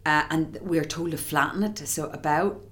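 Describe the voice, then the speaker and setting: An actress with an Irish accent, a woman, chatting excitedly in an interview with many quick restarts. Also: low voice. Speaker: musical theatre actress, guest. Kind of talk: casual interview chat.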